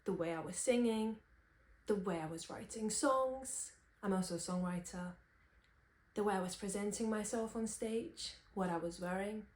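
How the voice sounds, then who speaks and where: A woman in her twenties from Romania, reflecting candidly and thoughtfully without a script, in halting phrases. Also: medium pitch, darkish tone. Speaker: vlogger, singer-songwriter. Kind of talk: unscripted personal reflection. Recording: roomy, echoey sound.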